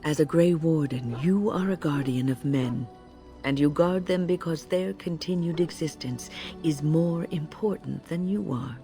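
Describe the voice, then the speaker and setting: An actress playing a wise older woman in a video game, a patient mentor with a measured, earnest way of speaking. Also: sits low, mellow, faint music behind. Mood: earnest, firm. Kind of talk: video game dramatic acting.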